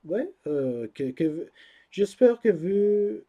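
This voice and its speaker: A man speaking French as a second language, hosting his own vlog, hesitant and searching for words with many pauses and fillers. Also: high voice, big pitch swings, muffled recording. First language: not French. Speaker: channel host. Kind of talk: casual vlog talk to camera.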